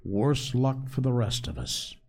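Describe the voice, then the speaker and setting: A man's voice: medium pitch, expressive, a little slow, a little mellow. A man voicing an earnest, polite and cautious young adventurer in an audiobook, in a plain, well-spoken way. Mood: earnest, grave.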